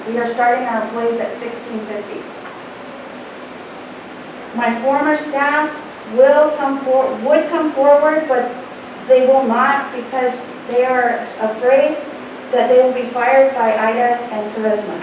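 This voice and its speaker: A middle-aged woman delivering a prepared complaint to a board in a formal, deliberate, emphatic way. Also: high voice. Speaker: former clinic manager giving public comment. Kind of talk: prepared speech of complaint at a meeting. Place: public meeting room, indoors. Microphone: distant room microphone. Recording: very echoey, noisy room, distant mic.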